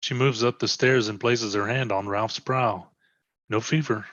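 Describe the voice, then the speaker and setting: A man's voice: mid-range voice, steady tone, measured pace, a bit bright, no room sound. A man reading scripted stage directions as present-tense narration, in a slightly muffled recording.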